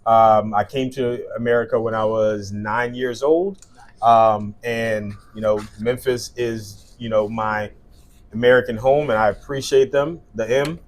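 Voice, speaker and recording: A man's voice: medium pitch, steady tone, unhurried. A man in his thirties, originally from South Sudan and Liberia, telling his story as an interview guest in an earnest way with many fillers. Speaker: guest, skincare business owner. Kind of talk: casual interview conversation.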